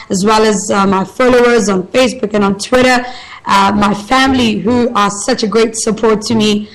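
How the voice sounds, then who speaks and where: A woman's voice: medium pitch, a bit bright, poor audio. A woman, a radio broadcaster giving an earnest, motivational interview and repeating her key phrases for emphasis. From South Africa. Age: 20s.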